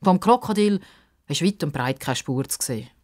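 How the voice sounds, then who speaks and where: A woman's voice: deep voice, animated, normal speed, darkish tone. A woman narrating a children's story expressively from a script.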